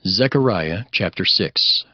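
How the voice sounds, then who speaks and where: A man reading scripture and chapter headings as a narrator, formal and measured. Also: sits low, lively intonation, faint music behind. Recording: dry, slightly muffled studio sound.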